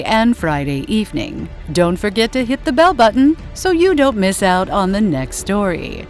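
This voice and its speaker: A woman giving dramatic scripted horror narration in an old-time radio style, theatrically savoring the descriptive words. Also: medium pitch.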